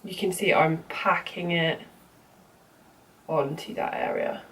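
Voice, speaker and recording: A woman's voice: low-pitched, wildly swooping pitch, slow pace, vocal fry. Stuffy-nosed woman chatting on camera. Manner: chatty, frequent ums and you-knows. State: congested, bunged up from a cold. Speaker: makeup vlogger. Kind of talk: casual makeup tutorial. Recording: slightly distant mic with faint room noise.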